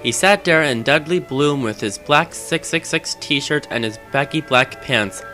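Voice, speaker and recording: A man's voice: fairly high pitch, a little slow, pushed and bright. A man giving a theatrical, mock-serious comedic reading of fan fiction on an amateur game microphone. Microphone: Rock Band game microphone. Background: music.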